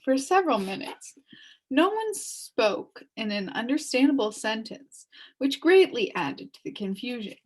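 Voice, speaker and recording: A woman reading a children's chapter book aloud as an expressive story narrator. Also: on the high side, unhurried, low-quality recording. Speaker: storybook reader.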